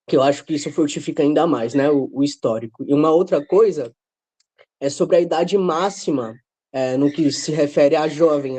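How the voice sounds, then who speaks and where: A man's voice: on the high side, mellow. A man with a cold and a breaking voice, asking questions casually and earnestly on a video call. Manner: casual, earnest, ends phrases with "sabe?".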